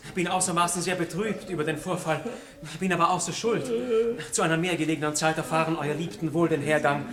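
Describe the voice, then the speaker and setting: A man's voice: on the high side, steady tone, fast talker, a bit bright, lightly acted. An actor playing a gallant, hot-headed seventeen-year-old nobleman in a radio play, defiant and declamatory. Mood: formal, contrite. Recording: roomy, noisy 1960s radio play recording.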